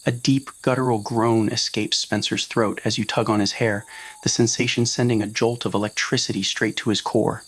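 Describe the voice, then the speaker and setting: A man's voice: lowish voice, flat delivery, normal speed, fairly bright tone, husky. A man reading a scripted romantic roleplay story as narrator, in an ASMR audio style.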